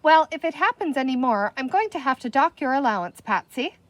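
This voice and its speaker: A stern mother performed by a woman storyteller scolds in a highish, animated voice. She sounds sceptical and severe, a no-nonsense parent from a children's storybook. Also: a little fast, dry recording. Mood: stern, questioning.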